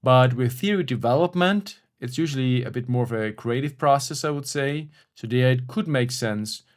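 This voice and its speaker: A man's voice: medium pitch, normal speed. Reflective German-accented man. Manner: reflective, frank, with fillers and restatements. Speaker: academic writing coach. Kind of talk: casual explanatory talk.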